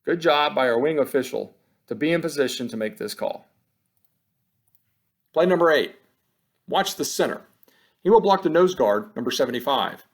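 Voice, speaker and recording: A man narrates a training film as an instructor would. His voice sits a little high for a man. He speaks in short, measured, matter-of-fact statements, yet his intonation rises and falls in a lively way. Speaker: football officiating instructor. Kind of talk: scripted instructional narration over game film.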